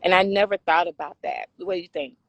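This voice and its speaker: A woman with a raspy voice hosting a casual talk discussion, often affirming her guest. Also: fairly low pitch, quick pace, fairly bright tone, no echo. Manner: affirming, frequent agreement sounds. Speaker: talk show host.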